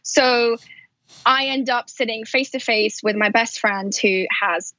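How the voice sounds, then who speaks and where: A woman's voice: a little high, a little slow, bright, forceful tone, dry recording, highs cut off. A woman who grew up in Afghanistan, Pakistan and Saudi Arabia, talking candidly and with animation as a journalist and podcast host being interviewed. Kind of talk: conversational interview. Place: remote call from a house in London.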